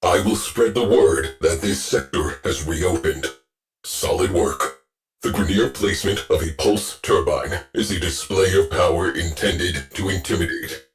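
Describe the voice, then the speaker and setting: A deep, clipped ship AI. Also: male voice, unhurried, soft background music. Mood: terse. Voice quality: clipped, deadpan military delivery. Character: stern male ship AI officer, terse, dry. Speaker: ship's AI officer. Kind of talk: video game voice lines.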